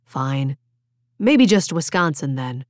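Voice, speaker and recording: A woman's voice: sits low, big pitch swings, slow, darkish tone, dry recording, voice pushed down, full character voice. A woman voicing a restless, wistful teenage boy in an audiobook, with casual, half-joking pleading. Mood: wry. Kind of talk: audiobook character dialogue.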